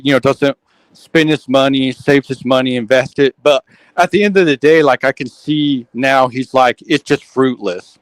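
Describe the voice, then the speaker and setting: A man hosting a casual online group chat, talking fast and often repeating his opening words. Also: medium pitch, dry recording, low-quality recording. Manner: quick, repeats starting words, lots of "um" and "like".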